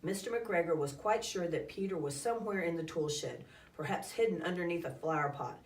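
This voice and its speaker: A woman with a slightly low voice reads a children's storybook aloud. She tells it expressively and with some drama. She is recorded at a distance from the microphone in an echoey room. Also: a little fast. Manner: dramatic, acts out calls and sound effects.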